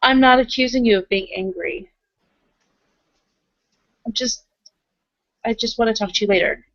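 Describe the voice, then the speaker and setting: A woman's voice: a little high, normal speed, fairly bright tone, dry recording, lo-fi sound. A woman playing in an improvised tabletop roleplay, speaking in character and narrating in a casual, understated way. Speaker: roleplay player.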